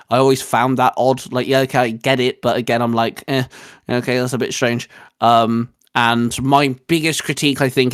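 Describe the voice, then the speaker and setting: A man's voice: mid-range voice, fairly bright tone, no echo, clean studio sound. A man hosting a casual review chat, talking like an opinionated, emphatic fan. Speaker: host.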